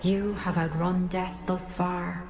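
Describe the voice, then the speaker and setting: A woman's voice: fairly low pitch, level tone, slow pace. A woman acting as a solemn, enigmatic guide in a fantasy video game, grave and instructive. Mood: ominous. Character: solemn, enigmatic female guide keeper of trials. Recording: echoing voice over faint music.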